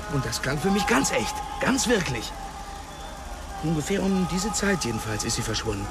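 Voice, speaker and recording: An actor playing a volatile police detective who pours out a bitter confession about his lost sister in a German radio drama. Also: on the high side. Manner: intense, confessional, pushy. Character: volatile male cop haunted by his sister.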